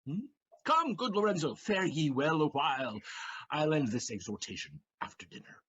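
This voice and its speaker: An actor playing a merry, talkative, mocking Venetian gentleman in a theatrical Shakespearean audio drama style. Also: on the high side, normal speed, breathy edge, full character voice. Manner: animated, jesting, theatrical. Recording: dull recording under lute music. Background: lute music.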